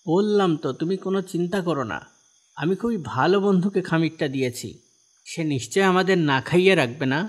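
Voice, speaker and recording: A man's voice: high voice, fast talker. A man voicing a kindly, devout and patient fisherman husband in a cartoon, speaking with assured, explaining manner. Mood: calm reassuring. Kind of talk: animated cartoon dialogue in Bengali. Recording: music behind the voice.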